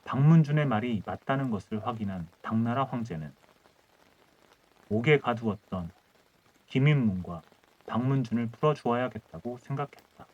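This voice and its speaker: A man's voice: mid-range voice, expressive, normal speed, dull audio. A man narrating a scripted historical folktale as an audiobook storyteller.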